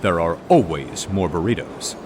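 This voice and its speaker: A man's voice: low voice, lively intonation, normal speed, background noise. An actor playing a dry, wisecracking man on the team. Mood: wry. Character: wry, sarcastic male team member.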